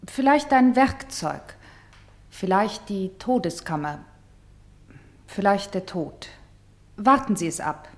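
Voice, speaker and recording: Female play leader for radio drama, medium pitch, deliberate, insistent, commanding. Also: expressive. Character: commanding female play leader summoning people and places to speak. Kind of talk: German radio play acting.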